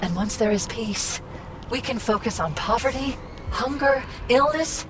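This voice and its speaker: A woman playing a calm, idealistic artificial intelligence in a video game, explaining things in a measured, reassuring way. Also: fairly high pitch, noisy background, lightly acted. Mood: calm, persuasive. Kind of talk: cinematic video game acting. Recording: music behind the voice.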